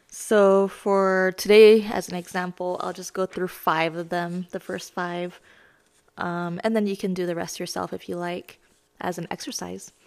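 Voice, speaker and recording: A woman in her thirties hosting a self-help lesson podcast, her voice raspy as she gets over being sick, talking in a chatty, self-deprecating, explanatory way. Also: medium pitch, a little mellow. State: raspy voice from recent illness. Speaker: podcast host.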